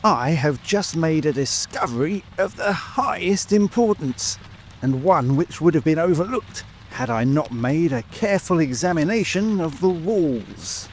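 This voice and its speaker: A man's voice: fairly high pitch, measured pace, slight background noise. A man playing a small, pompous, self-satisfied Victorian police detective in a dramatic audiobook, triumphant in manner. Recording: steady rain ambience over voice. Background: rain.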